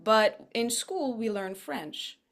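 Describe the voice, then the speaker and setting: A woman's voice: fairly high pitch, slow, a bit bright. A woman raised in Switzerland, Farsi her first language, chatting animatedly as an interview guest with self-deprecating asides. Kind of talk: casual interview chat.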